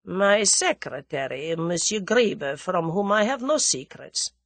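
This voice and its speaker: Woman as cowardly Russian nobleman, formal, theatrical, lively pitch swings, storybook villain, close dry sound. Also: measured pace, dry recording, heavily acted. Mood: haughty, smooth. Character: venomous, cowardly Russian aristocrat man, haughty then panicky.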